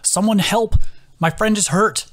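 Narrator doing a worried older guy begging for help, raised pitch, male, fantasy audiobook. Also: a little slow, a bit bright. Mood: desperate, calling out. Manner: pleading, anxious. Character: desperate muscular older man, pleading for a friend. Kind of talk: audiobook character voice.

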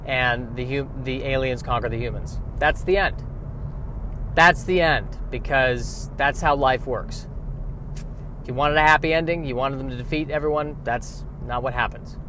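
A man hosting a podcast alone in a rambling comic monologue, halting and repeating his phrases. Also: mid-range voice, background noise. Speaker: solo podcast host.

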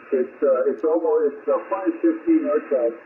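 A man's voice: on the high side, normal speed, narrow, tinny audio. A man, an amateur radio operator chatting casually and hesitantly over shortwave radio. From Canada. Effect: heard over shortwave radio. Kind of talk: casual ham radio contact. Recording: thin, noisy single-sideband radio signal. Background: radio static.

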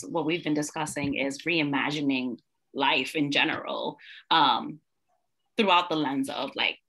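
A woman's voice: deep voice, bright, forceful tone, low-quality recording. A young woman, a teacher and student, speaking reflectively on a video call with frequent fillers. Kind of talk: town hall video call. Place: indoor room at home.

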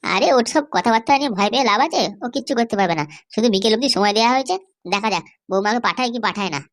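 A woman's voice: on the high side, lively intonation, rapid-fire, close to an everyday voice. A woman voicing a boastful, defiant schemer in a comedy cartoon, full of confident threats. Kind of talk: exaggerated cartoon dialogue.

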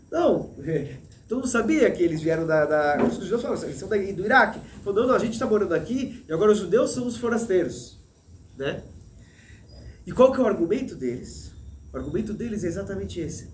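Animated high-voiced man teaching. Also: echoey room, a little hiss or hum. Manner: animated, gesturing, with many restarts. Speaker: rabbi teaching Torah. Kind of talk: Torah lesson. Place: small room with a plain wall, indoors. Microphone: desk mic in front, medium framing.

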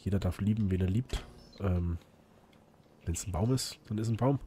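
Let's play host, male, a bit hoarse, chatty and rambling, faint hiss behind. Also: low voice, moderate pace, dark tone. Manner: chatty, rambling, frequent fillers and restarts. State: slightly hoarse from illness. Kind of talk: casual gameplay commentary.

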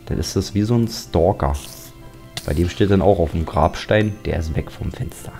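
Deep, chatty man over game music. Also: normal speed, vocal fry, mellow. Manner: chatty, joking, grumbles at mobs. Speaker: Let's Play commentator. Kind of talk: casual gameplay commentary.

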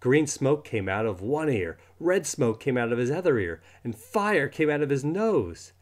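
A man reading a children's storybook aloud in an expressive manner, acting out the words as he goes. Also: mid-range voice, normal speed. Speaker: storybook reader. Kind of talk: children's book read-aloud.

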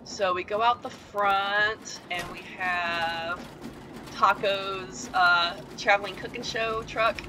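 A woman's voice: medium pitch, steady tone, slow pace, noisy background. A woman giving a rambling, casual voice-over tour of a house built inside a video game, full of ums and side remarks. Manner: chatty and rambling, with frequent ums and asides.

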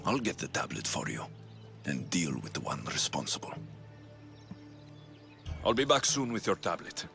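An actor playing a confident, tough Greek mercenary hero in his thirties, blunt and forceful, in a video game cutscene. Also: sits low, big pitch swings, normal speed. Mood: determined. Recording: game dialogue over background music.

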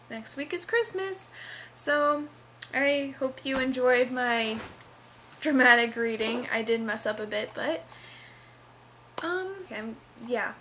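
A woman's voice: high-pitched, fairly bright tone, a little hiss or hum. A young adult woman vlogging in a casual, hesitant way, talking to a camera at home. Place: small living room, indoors on a couch.

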